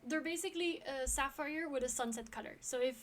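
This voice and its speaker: A woman's voice: high-pitched, brisk. A young woman from France chatting casually with her live stream viewers, talkative with frequent ums and little laughs.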